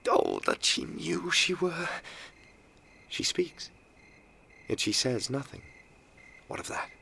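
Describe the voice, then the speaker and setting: An actor playing an ardent, yearning young nobleman in a Shakespearean audio play, moving from breathy hush to swelling verse. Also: fairly high pitch, big pitch swings, slow, slight background noise, exaggerated voice. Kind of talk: Shakespearean radio play soliloquy.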